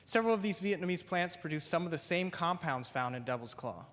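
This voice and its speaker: A man, a graduate plant researcher, giving a prepared talk and then answering on stage in a measured way, often saying "basically". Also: a little high, lively intonation, fairly bright tone. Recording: long hall reverb.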